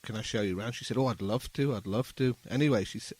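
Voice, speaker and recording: A man's voice: fairly low pitch, quick pace, a little mellow, husky. A man from England, a musician chatting informally as a studio guest and often repeating his phrases. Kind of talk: casual interview chat. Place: radio studio.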